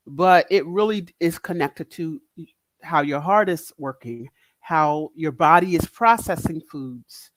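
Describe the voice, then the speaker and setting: Middle-aged Northeastern woman, guest speaker; deep, loud, blunt delivery; dry close mic. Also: measured pace, no room sound. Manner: direct, candid, laughs often. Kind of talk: casual podcast chat.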